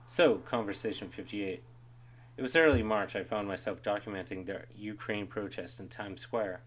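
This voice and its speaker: A man with a fairly low voice opens his interview series in a measured, earnest way. His delivery is steady and plainly read from a script. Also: rough recording. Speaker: host. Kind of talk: scripted introduction, then casual interview hosting.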